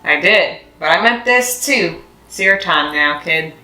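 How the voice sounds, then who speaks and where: A woman's voice: lowish voice, animated, a little slow, pushed and bright, voice pushed down. A woman voicing a kindly, fatherly older custodian in a children's storybook, with a folksy, reassuring manner. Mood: tender. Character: kindly older male school custodian, fatherly, twinkly mentor. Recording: roomy echo with music behind.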